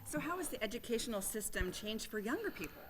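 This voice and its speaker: A woman's voice: fairly high pitch, sing-song, quick pace, a bit bright. A woman hosting an event, asking polite questions on stage and joking lightly as she introduces the speaker. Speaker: event host and interviewer.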